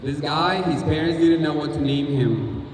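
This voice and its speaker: A man delivering a stand-up roast with punchy, deadpan insult jokes. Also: a little high, moderate pace, noisy background. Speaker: roast comedian. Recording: echoey hall, distant mic, crowd. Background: audience laughter.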